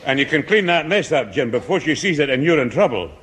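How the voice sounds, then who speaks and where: A man's voice: on the high side, big pitch swings, fast, a bit bright. An actor playing a sardonic, scolding old grandfather in a 1960s radio sitcom.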